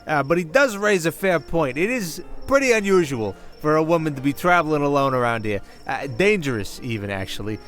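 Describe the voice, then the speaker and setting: Male actor as Old West sidekick, American accent, higher-pitched, hesitant, courteous, roleplay acting, music behind. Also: lively intonation, fairly quick, few pauses, a bit bright. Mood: awkwardly courteous. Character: hesitant, polite cowboy sidekick at a saloon.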